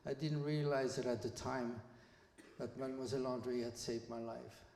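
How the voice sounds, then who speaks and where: Elderly Belgian-born man, flat measured testimony. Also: mid-range voice, creaky. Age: around 80. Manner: measured, plain storytelling with small restarts. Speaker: guest speaker. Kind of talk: personal testimony to students. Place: school hall. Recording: echoey hall reverb.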